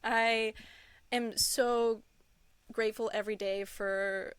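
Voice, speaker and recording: A woman's voice: fairly high pitch, fairly even intonation, slow pace, clear, resonant tone, no room sound. Bubbly, giggly young woman guest. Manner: bubbly, gushing, laughs often, many "like"s and "um"s. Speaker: podcast guest, content creator. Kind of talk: casual interview chat.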